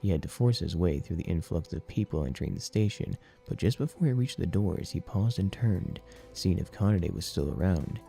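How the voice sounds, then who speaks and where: A man narrating dramatic fiction in a solemn, deliberate storytelling manner. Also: low-pitched, expressive, creaky, mellow. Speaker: audiobook narrator. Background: music.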